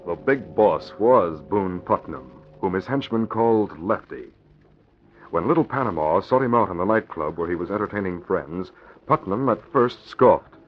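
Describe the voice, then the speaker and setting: A man's voice: low voice, lively intonation, normal speed, soft background music. A man narrating a scripted 1940s radio crime drama with dramatic storytelling delivery. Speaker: announcer-narrator. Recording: tinny, narrow old radio recording.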